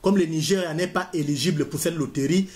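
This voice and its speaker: Guy on a screen tutorial, kinda high voice, goes slow and repeats stuff. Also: darkish tone. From Cameroon. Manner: talks viewers step by step through a form, repeating himself. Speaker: online tutorial presenter. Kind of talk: screen-recorded walkthrough.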